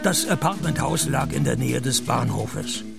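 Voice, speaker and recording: Gravelly deadpan Swiss private detective. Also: male voice, mid-range voice, unhurried, loud music behind the voice. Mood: dry narrating. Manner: deadpan, dry, terse. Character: laconic, cash-strapped male private detective, dryly sardonic. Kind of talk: radio crime play, first-person narration and dialogue.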